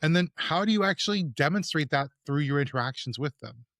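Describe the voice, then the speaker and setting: A man's voice: a little high, lively intonation, brisk, fairly bright tone, dry recording, clean studio sound. A man from Canada hosting a casual podcast interview, chatty and hesitant and stumbling over his words as he asks long questions. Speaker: podcast host.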